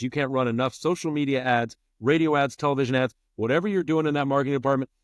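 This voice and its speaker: A man's voice: medium pitch, no echo, clean studio sound. A middle-aged man talking as a podcast guest in an emphatic, conversational way, repeating phrases for stress. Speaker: podcast guest, former public address announcer. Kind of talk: spirited conversational interview.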